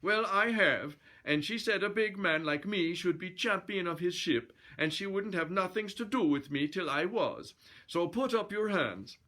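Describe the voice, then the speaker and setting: Huge dim Swedish sailor, mock accent, raised pitch, loud bluster, storybook bully. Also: male voice, expressive, a little slow, full character voice. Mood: boastful. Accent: put-on mock Swedish, slipping toward Irish.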